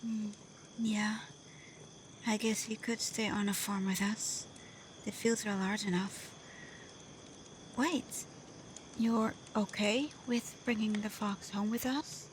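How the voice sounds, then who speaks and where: A woman playing a gentle, devoted kitsune in love in an intimate ASMR roleplay, speaking tenderly and hesitantly, often dropping to a breathy whisper. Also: medium pitch, fairly even intonation, slow pace, pauses often, slight background noise, close to an everyday voice. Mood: soft, playful. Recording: faint cricket and night insect ambience.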